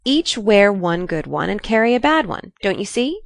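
A woman voicing a careless, brisk tomboy of a teenage girl in a storybook, plain-spoken and offhand. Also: mid-range voice, sing-song, vocal fry, dry recording. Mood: defiant. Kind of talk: storybook character reading.

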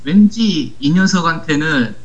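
A teenage male high school student speaking as a guest in a casual talk, explaining things earnestly step by step. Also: animated, a little slow, muffled recording. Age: teens, high school student. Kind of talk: casual talk show conversation, recounting an anecdote.

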